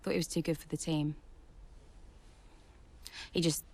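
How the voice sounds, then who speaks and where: A woman's voice: deep voice, level tone, measured pace. Blunt, defiant young woman driver. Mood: resentful, candid. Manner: curt, confrontational. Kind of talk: video game cutscene acting.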